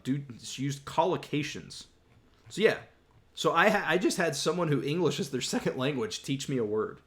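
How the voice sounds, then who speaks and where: A young man hosting a casual live Q&A, rambling with many restarts as he reads and answers chat questions. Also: medium pitch. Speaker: YouTube host answering viewer questions. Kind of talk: casual livestream Q&A.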